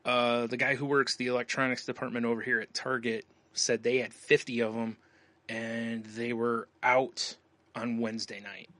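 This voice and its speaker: A man with a bright, forward voice talks casually as a podcast co-host. He is joking and loose with profanity, and the sound is close and dry. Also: mid-range voice, little pitch movement, moderate pace, no room sound. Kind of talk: casual chat.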